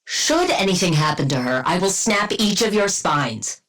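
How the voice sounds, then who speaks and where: A woman's voice: fairly low pitch, very animated, normal speed, fairly bright tone. A woman voicing a coldly arrogant, menacing super-scientist villain in a video game, speaking with haughty, dryly sardonic precision and clinical diction. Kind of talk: video game character voice lines.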